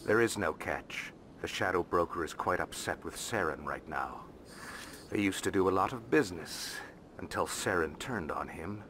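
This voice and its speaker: An actor playing a shrewd, courteous alien financial advisor in a video game, speaking formally and knowingly. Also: male voice, low voice, expressive, normal speed, fairly bright tone, a little hiss or hum. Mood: confiding. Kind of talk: video game character acting. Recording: game music behind the voice.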